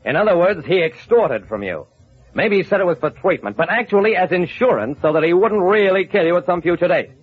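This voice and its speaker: Husky hard-boiled radio detective. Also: male voice, sits high, animated, a little fast. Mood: probing, firm. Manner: wry, clipped, confident. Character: shrewd, hard-boiled male investigator telling his case. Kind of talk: old-time radio detective narration and acting. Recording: muffled vintage radio recording.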